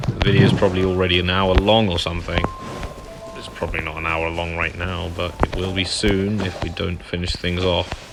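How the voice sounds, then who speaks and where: A man hosts a casual game playthrough. His voice is low, and he comments offhand as he plays. Prominent game music sounds behind him. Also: level tone, brisk. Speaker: gaming video host.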